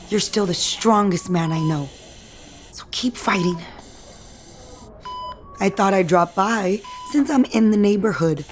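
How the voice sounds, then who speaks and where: A woman plays a vain, vengeful daughter in an audio soap opera. She is self-assured and theatrical, at a medium pitch, with faint noise and machine beeps around her. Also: lightly acted. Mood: tender, solemn. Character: proud, vain, vengeful daughter visiting her hospitalised father.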